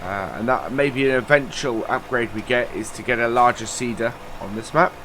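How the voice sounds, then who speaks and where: A man's voice: mid-range voice, a little fast, fairly bright tone, a little hiss or hum. Chatty man, nasal with a cold. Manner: chatty, rambling, many uh fillers. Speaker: gaming channel host. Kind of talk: casual let's-play commentary.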